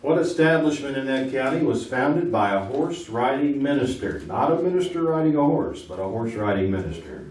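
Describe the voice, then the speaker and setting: A man's voice: mid-range voice, animated, normal speed, hardly any pauses, darkish tone, distant mic. A man hosting a live comedic quiz show, reading questions and bantering jokingly with contestants. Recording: echoey, noisy hall from off mic.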